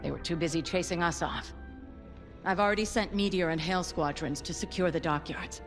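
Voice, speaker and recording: An actress playing a commander giving a measured, resolute briefing to her pilots. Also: lowish voice, close to an everyday voice. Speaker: military commander. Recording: reverberant hall with music.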